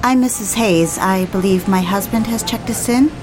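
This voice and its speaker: A woman's voice: medium pitch, normal speed, music in the background, lightly acted, poor audio. An actress playing an anxious, lovelorn woman with wounded pride in a period melodrama, genteel and dramatic. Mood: flustered, polite. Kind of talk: period melodrama acting with first-person narration.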